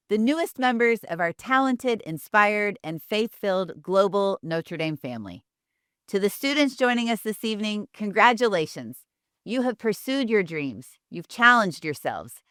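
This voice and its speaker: A woman's voice: on the low side, a bit bright, no room sound, studio quality. A middle-aged woman hosting a university welcome event over a video call, speaking in a formal, emphatic way. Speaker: university admissions host. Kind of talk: welcoming speech and panel moderation.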